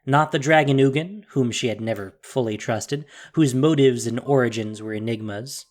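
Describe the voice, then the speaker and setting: A woman's voice: very deep voice, normal speed, a little mellow. A woman narrating a fantasy story in a solemn, emphatic, dramatic scripted style. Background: music.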